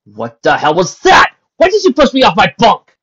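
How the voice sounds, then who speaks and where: A man voicing an angry young pony colt, shouty and indignant, on a dull lo-fi recording. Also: fairly low pitch, fairly quick.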